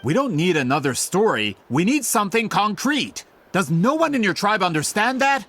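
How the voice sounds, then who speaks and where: An actor playing an impatient, skeptical gentleman publisher in a video game, formal and pompous. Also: high-pitched, very animated, moderate pace, heavily acted. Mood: exasperated.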